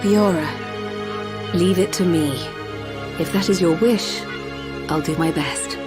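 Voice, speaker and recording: A woman's voice: fairly low pitch, takes it slowly. A woman acting a composed, compassionate machine-race doctor in a video game, explaining things formally and precisely. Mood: resolute, sympathetic. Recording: game audio with music bed.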